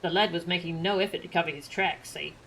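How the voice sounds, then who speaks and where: A woman voicing a gruff male hunter in a fantasy game, talking in a blunt, colloquial way. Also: on the low side, steady tone, quick pace, fairly bright tone, slight background noise, rough recording. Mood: blunt, folksy.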